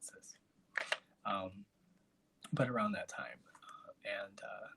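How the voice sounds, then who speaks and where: A man, hosting a casual live stream and chatting in a soft, rambling way. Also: fairly low pitch, poor audio. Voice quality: raspy. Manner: soft, breathy and rambling, with trailing asides.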